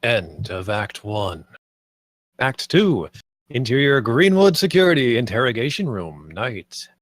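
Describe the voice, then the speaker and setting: A man's voice: medium pitch, sing-song, a little slow, dry recording, studio quality. A man narrating the stage directions and scene headings of a screenplay at a table read.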